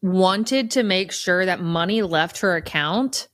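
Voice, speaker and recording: A woman's voice: on the low side, expressive, takes it slowly, fairly bright tone, no room sound. A woman chatting casually as a podcast guest, speaking in a frank, opinionated way.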